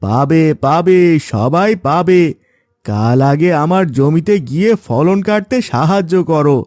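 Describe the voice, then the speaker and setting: An exasperated cartoon farmer husband played by a man, with a high voice that moves in wide, animated swings. He complains theatrically and emphatically, and the recording is dry and close. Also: measured pace, no room sound. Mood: coaxing. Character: exasperated village farmer husband with quarrelling wives. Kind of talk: cartoon fairy-tale voice acting.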